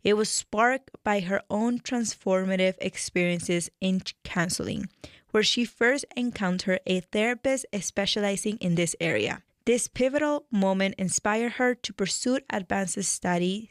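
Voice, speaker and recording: A woman's voice: medium pitch, unhurried, no echo, clean studio sound. Woman with a Peruvian Spanish accent. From Peru. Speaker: radio show host and mental health therapist. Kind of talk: scripted radio show introduction.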